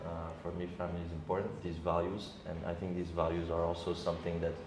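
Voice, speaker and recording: A young man from Senegal, a guest DJ, talking casually in an interview with many ums and pauses. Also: low voice. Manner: relaxed, hesitant, many ums and uhs. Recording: echoey room with background noise.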